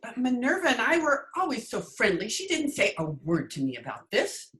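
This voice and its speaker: A woman's voice: on the high side, wildly swooping pitch, moderate pace, fairly bright tone. A woman playing a witty, sardonic schoolteacher with dry wisecracks in an old-time radio comedy, recorded over a video call. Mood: wry. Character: witty, sardonic female high school English teacher. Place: recorded remotely at home. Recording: echoey room on video call.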